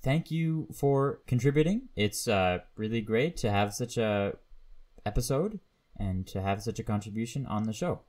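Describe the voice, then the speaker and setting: A man's voice: lowish voice, expressive, normal speed, darkish tone. A man hosting a podcast interview in an informal, agreeable way with slightly hesitant phrasing. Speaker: podcast host. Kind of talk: casual interview.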